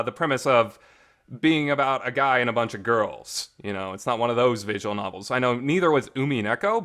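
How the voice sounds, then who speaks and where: A man's voice: fairly high pitch, fairly bright tone. A man hosting a casual, rambling review, enthusiastic and emphatic, often restarting his sentences, in a reverberant homemade recording. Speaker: host reviewing visual novels.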